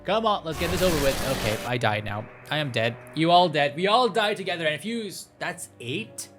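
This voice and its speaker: A man's voice: fairly high pitch, lively intonation, brisk, fairly bright tone, faint music behind. A man playing a visual novel, reading the text aloud and chatting casually in a playful, rambling way, sometimes stumbling over the words. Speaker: gaming streamer.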